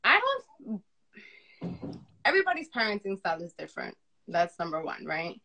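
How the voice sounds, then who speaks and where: A woman, young to middle-aged, with a loud voice, chats casually and candidly as an interview guest, repeating phrases for emphasis. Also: mid-range voice, very animated, normal speed. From Dominican upbringing. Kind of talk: casual personal conversation. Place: remote live video chat.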